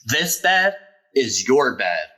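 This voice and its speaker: A man's voice: mid-range voice, big pitch swings, slow, bright, forceful tone, heavily acted. A man voicing a big-tempered but caring father in a storybook, speaking emphatically and directly. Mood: angry, booming.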